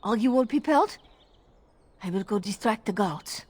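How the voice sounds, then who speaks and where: An actress playing an anxious, scheming elven handmaid in a video game. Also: female voice, medium pitch, lively intonation, normal speed, darkish tone, husky. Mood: cautious, urgent. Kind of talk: video game cutscene acting.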